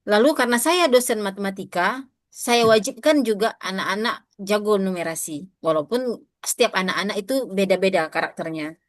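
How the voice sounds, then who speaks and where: A woman's voice: mid-range voice, lively intonation, normal speed, dry recording. Chatty woman lecturing teachers, dry sound. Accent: Indonesian. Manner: addresses audience often, asks questions, frequent fillers. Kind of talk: interactive teacher-training lecture.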